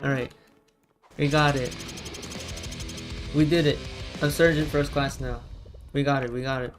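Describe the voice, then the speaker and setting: A man from the United States giving casual gameplay commentary, rallying teammates with quick repeated calls. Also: medium pitch, steady tone, soft background music. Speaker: gamer streamer.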